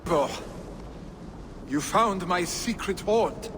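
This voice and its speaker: A brash young lord of a Norse clan, boasting with flippant, theatrical flair. His voice sits fairly high and swings widely as he takes his time, in a video game drama with clearly noisy ambience behind him. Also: lively intonation, very slow, exaggerated voice. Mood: wistful bravado. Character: brash, carefree Norse warrior, jarl's son.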